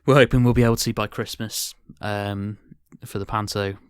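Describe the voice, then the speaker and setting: A man's voice: lowish voice, steady tone, moderate pace, smooth, no room sound, studio quality. A man co-hosting a podcast about a theatre company in casual discussion.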